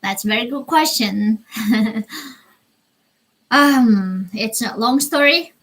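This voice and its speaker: A young woman from North Korea with a Korean accent, hosting a casual live stream Q&A from her bedroom, speaking halting English and searching for words. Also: on the high side, expressive, fairly bright tone, rough recording. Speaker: livestream host. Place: small bedroom with string lights.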